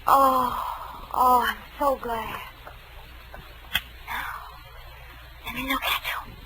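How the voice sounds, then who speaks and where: Very high, girlish doting secretary. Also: fairly even intonation, drawn-out words, sharp tone, full character voice, rough recording. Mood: worried, tender. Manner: breathlessly concerned, doting. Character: devoted, fretful girlish private detective's secretary. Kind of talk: 1940s radio acting. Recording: vintage radio broadcast, faint noise.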